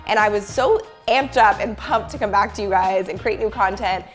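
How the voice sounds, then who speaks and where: A woman in her thirties with a hoarse voice from a cold, talking upbeat and chatty straight to her viewers in a home vlog. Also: medium pitch, moderate pace, soft background music. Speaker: YouTuber and business coach. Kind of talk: casual talking-head vlog.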